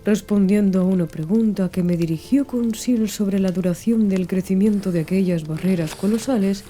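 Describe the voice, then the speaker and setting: A woman narrating a literary audiobook in a measured, expressive storytelling manner. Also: mid-range voice. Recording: music behind the voice. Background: background music.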